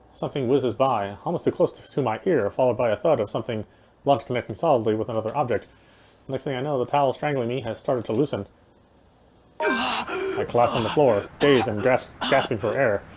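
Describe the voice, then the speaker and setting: A man's voice: mid-range voice, lively intonation, a little fast. A man doing a dramatic read of visual novel narration, stumbling over the odd word. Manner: stumbles over words while reading. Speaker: let's player reading a game aloud. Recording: music bed behind the voice. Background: loud game music.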